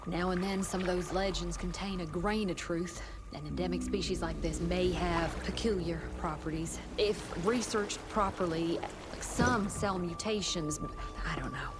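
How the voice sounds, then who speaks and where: An actress voicing a stern, skeptical doctor. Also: female voice, lowish voice, normal speed, background noise, close to an everyday voice. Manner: sharp, stern and argumentative. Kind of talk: video game cutscene acting.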